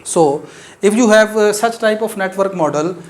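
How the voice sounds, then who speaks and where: A man whose first language is Urdu, speaking English with an Urdu accent as he gives a maths lecture, explaining step by step with frequent pauses and fillers. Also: sits high.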